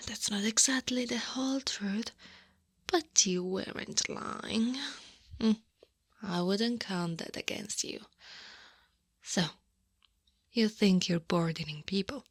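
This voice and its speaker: Woman as a slow, breathy, whispering monster woman. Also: medium pitch, dry recording, clean studio sound. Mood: knowing, firmly coaxing. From Italy. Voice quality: soft, breathy, often whispered. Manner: slow, gentle, deliberate coaxing. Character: female Krampus monster, stern yet tenderly comforting, knowing. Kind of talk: ASMR roleplay, intimate and soothing. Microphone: close-up microphone.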